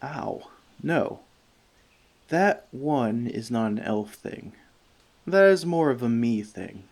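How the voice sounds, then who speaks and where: A man playing a friendly forest elf in an ASMR roleplay, talking to the listener with dry, gentle teasing. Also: mid-range voice, lively intonation, takes it slowly, vocal fry, exaggerated voice. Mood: relaxed, open.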